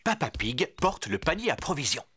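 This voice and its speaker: A woman narrating a French children's cartoon in simple, clear storytelling style. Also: low-pitched, very animated, normal speed, fairly bright tone, exaggerated voice. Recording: cartoon music behind the narration.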